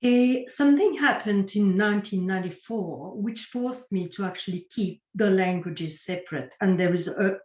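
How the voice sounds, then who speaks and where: A woman whose first language is French, a guest writer answering interview questions in a halting way, often searching for words. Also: medium pitch, darkish tone, echoey room, dull audio. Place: home video call. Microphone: computer microphone on video call.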